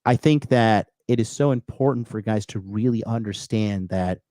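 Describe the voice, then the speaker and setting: A man's voice: lowish voice, normal speed, dark tone, no room sound, clean studio sound. A man in his thirties hosting a casual livestream chat, talking brashly and profanely, rambling with lots of fillers and restarts. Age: 30s. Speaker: podcast host.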